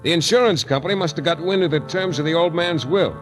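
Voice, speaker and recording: An actor playing a tough, wry private detective in slangy hard-boiled 1940s radio style. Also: male voice, fairly high pitch, quick pace, close to an everyday voice. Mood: brooding narration. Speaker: lead actor and narrator. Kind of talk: 1940s radio detective acting with first-person narration. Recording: 1940s radio recording, music behind.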